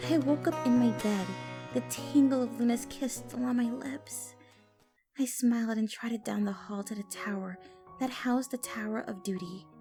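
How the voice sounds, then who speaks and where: A woman's voice: a little high, steady tone, normal speed, over music. A woman narrating fan fiction expressively in the first person, as a storyteller.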